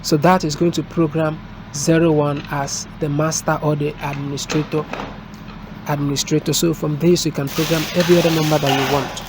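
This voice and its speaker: A man giving an unscripted hands-on installation tutorial as a technician, explaining step by step in a halting way. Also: fairly high pitch, flat delivery. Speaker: technician presenting a product installation. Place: indoors at a desk, filmed from above. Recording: noisy room with street and vehicle sounds.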